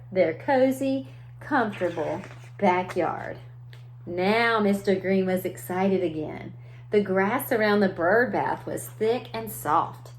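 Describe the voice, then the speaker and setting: A woman's voice: mid-range voice, a little hiss or hum. A woman preschool teacher reading a story aloud to young children, adding simple explanations and questions. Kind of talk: children's read-aloud with asides.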